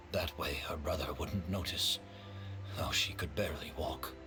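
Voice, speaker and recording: A man playing a remorseful, courteous gentleman in a video game, speaking in a measured, earnest way. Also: rumbling low, steady tone, over music. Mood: somber. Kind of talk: dramatic game voice acting.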